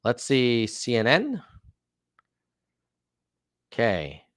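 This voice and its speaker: A man's voice: medium pitch, wildly swooping pitch, very slow, dry recording, studio quality. A man hosting a casual news commentary show, talking in a chatty way with offhand asides.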